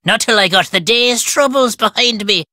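An actress playing a gruff, suspicious countrywoman with an Irish accent and a curt, dismissive manner. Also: a little high, normal speed, bright, forceful tone, dry recording, clean studio sound. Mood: brusque, needling.

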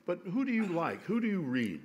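Older man asking measured questions. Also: high-pitched, wildly swooping pitch, darkish tone, lo-fi sound. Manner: measured, searching questions with hesitations. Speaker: interviewer. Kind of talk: onstage conversation. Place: indoor stage in a library room before a seated audience.

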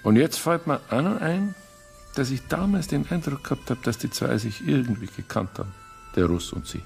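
An actor playing a helpful, hesitant local policeman with a creaky voice and a Bavarian accent in a radio drama. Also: mid-range voice, lively intonation, normal speed, a little mellow, faint music behind. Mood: hesitant, informative. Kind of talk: naturalistic radio drama acting.

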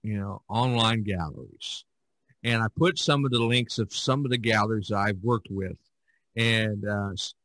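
A man's voice: on the low side, a little slow, fairly bright tone, no echo. A man hosting a casual podcast discussion, telling his story in a rambling, emphatic way. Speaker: podcast host and artist.

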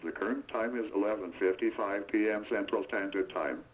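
A man giving a formal station identification for a weather radio broadcast in a measured, even delivery. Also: fairly low pitch. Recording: dull, distant radio recording.

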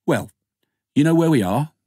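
A man's voice: fairly high pitch, lively intonation, moderate pace, warm tone, slightly rough, no room sound, clean studio sound, pitched above the performer's own voice. A man voicing a keen, slightly awkward thirties male doctor in an audiobook, chatty and tentative. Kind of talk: audiobook character dialogue.

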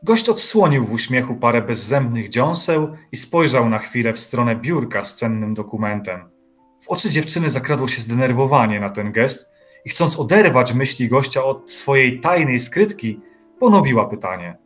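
A man narrating an audio drama in an even, measured literary reading. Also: mid-range voice, soft background music.

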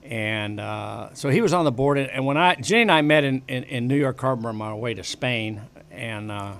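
Older man, studio interview guest, rambling storyteller, lots of fillers and restarts, desk mic, average pitch. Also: moderate pace, vocal fry, a bit bright. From western United States. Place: small studio with bookshelves. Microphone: desk broadcast mic on boom arm.

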